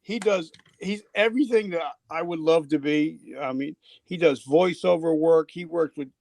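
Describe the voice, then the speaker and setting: A man's voice: fairly high pitch, moderate pace, vocal fry, darkish tone, no room sound. An older man from New Jersey hosting a live, unscripted podcast in loose, chatty conversation. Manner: loose and chatty, often trailing into laughter. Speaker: podcast host. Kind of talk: casual live podcast chat.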